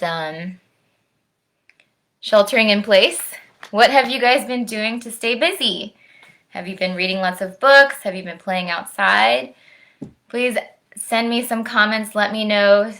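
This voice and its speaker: A woman, around her thirties, speaking to young children in an expressive storytime style, slowly and directly, recorded at home on a device microphone. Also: medium pitch. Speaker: storytime presenter, orchestra musician. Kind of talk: children's read-aloud and friendly chat to camera. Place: at home, indoors.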